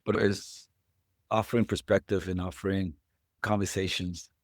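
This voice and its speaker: Podcast host, middle-aged man from Puerto Rico, low and halting, dry close sound. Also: flat delivery, no room sound. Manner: halting, repeats words as he thinks. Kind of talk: conversational interview.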